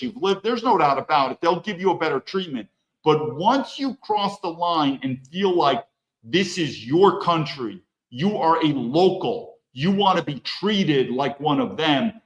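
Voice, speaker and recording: A man's voice: on the high side, echoey room, poor audio. A man hosting a solo podcast, talking bluntly and emphatically and repeating points for stress. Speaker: podcast host. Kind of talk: opinionated solo monologue.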